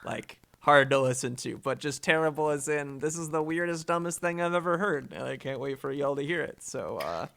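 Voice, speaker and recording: A man's voice: on the high side, lively intonation, moderate pace, creaky, hardly any pauses, fairly bright tone. A man hosting a live stream in a casual, informal way, often thinking aloud. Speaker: host of a radio listening stream.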